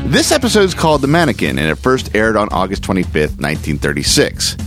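A man's voice: low-pitched, very animated, brisk. A man hosting a podcast, reading a scripted, spooky introduction. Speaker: podcast host. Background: music.

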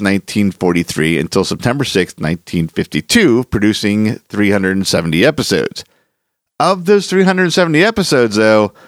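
Guy hosting a podcast, scripted intro, really animated up and down voice, bit of music under. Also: medium pitch. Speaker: podcast host. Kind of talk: scripted narration introducing old radio horror. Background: soft music.

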